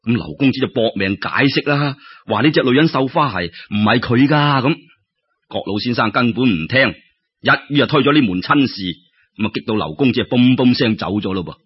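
A man with a Cantonese accent telling a story in an expressive, colloquial way, with exclamations and rhetorical questions. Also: medium pitch, brisk, slightly rough. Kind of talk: animated traditional Cantonese storytelling narration. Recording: dull lo-fi audio.

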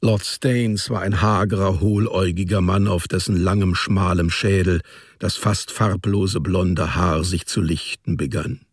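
A man narrating a radio drama as a literary story, in a measured, precise storytelling manner. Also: low voice, steady tone, husky, no echo, studio quality.

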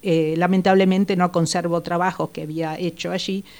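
A woman's voice: lowish voice, level tone, fairly quick, darkish tone. An older woman born in Italy, speaking, recalls her work as a psychologist in a reflective, hesitant way, with many fillers. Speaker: psychologist guest. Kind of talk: interview, personal recollection.

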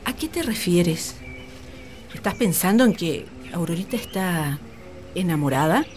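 A genteel, middle-aged hacienda mistress in a radio drama. Her pitch rises and falls freely, and she is easygoing. Faint music plays behind her. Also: lively intonation, unhurried, a little mellow. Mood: concerned.

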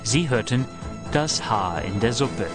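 A man's voice: mid-range voice, animated, a little slow. A man reading a scripted announcement and recap as the announcer of a radio serial. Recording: clear music under the voice.

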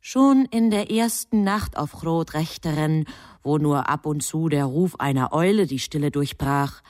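An actress playing a composed, knowing storyteller, narrating a German radio drama in measured phrases. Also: female voice, sits low, darkish tone, no room sound, clean studio sound. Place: studio.

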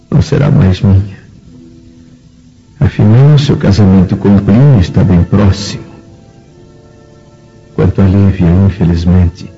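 A proud Roman senator sunk in anguish. His low, very dark voice moves slowly through a solemn radio drama lament, with faint music behind it. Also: husky, muffled recording, heavily acted.

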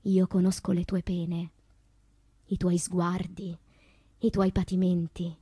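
An actress playing a sensual, unashamed woman poet in a deliberate, intimate theatrical monologue about her inner life. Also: fairly low pitch, level tone, mellow, breathy edge, dry recording. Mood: intimate, frank. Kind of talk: theatrical literary monologue with sung passages.

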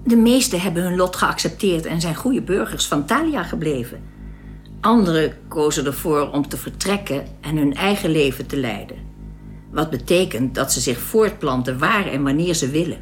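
A woman's voice: deep voice, animated, moderate pace. An actress playing a serene, proud young alien woman of a perfected race in a science-fiction radio drama, speaking in a composed, instructive way. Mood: proud, matter-of-fact.

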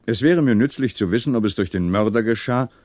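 Actor as composed low-voiced police commissioner. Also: male voice, darkish tone, no echo, studio quality, lightly acted. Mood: courteous, probing. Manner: polite, probing, unhurried. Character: composed, wry middle-aged male French police commissioner. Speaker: police commissioner in a crime radio play. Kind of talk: 1960s German radio drama acting.

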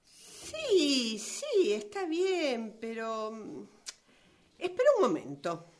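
A woman acting a flustered, defensive novelist in a radio play, with an Argentine Rioplatense accent. Her highish voice moves slowly and hesitantly, stammering in protest, with big swings of pitch. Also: huge pitch swings, heavily acted.

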